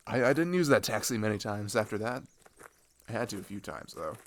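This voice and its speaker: A man's voice: fairly low pitch, lively intonation, a little fast. A young man playing a chatty, sarcastic teenage traveler in a casual audio roleplay, rambling in hesitant slang. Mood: amused unease. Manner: rambling, hesitant, slangy, filler-heavy, giggly. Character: chatty, sarcastic teenage boy traveler swapping road stories.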